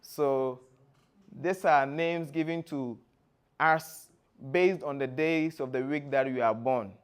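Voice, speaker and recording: A man from Ghana with a Ghanaian accent, giving a presentation in a deliberate, explanatory way, phrase by phrase. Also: medium pitch, clear, resonant tone. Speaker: guest speaker, former tour guide. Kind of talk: presentation to an audience with slides.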